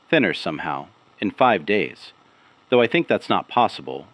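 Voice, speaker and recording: Guy acting as a tired haunted investigator doing a radio drama broadcast, low voice w lots of rise and fall, grave, slow deliberate phrasing. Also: fairly bright tone, no echo, full character voice. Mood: somber, uneasy. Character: weary, haunted male investigative broadcaster piecing together a conspiracy. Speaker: broadcast host and narrator of a fictional missing person investigation series. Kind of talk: scripted serial audio drama narration.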